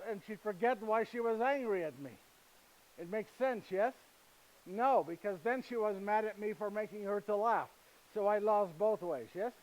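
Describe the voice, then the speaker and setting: An actor performing a first-person monologue as a gentle, humorous husband and father. He speaks broken, foreign-sounding English and keeps checking in with listeners through tag questions like "yes?". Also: sits high, natural voice, low-quality recording. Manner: chatty, storytelling, addresses listeners directly. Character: gentle, humorous male carpenter, husband and father, middle-aged. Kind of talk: live theatrical monologue.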